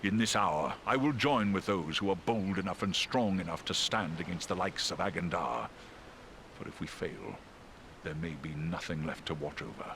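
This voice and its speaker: A man's voice: sits low, expressive, normal speed, gravelly, a bit bright, a little hiss or hum, full character voice. A man playing a noble, brave great eagle in a fantasy game, grave, formal and earnest in manner. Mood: noble resolve. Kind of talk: dramatic fantasy game acting.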